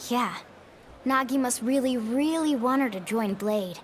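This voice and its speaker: Teenage girl for game cutscenes, acted by a woman: high, chirpy, eager tech enthusiast, informal, faint ambience behind. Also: measured pace, slight background noise, natural voice. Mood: upbeat. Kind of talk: video game cutscene acting.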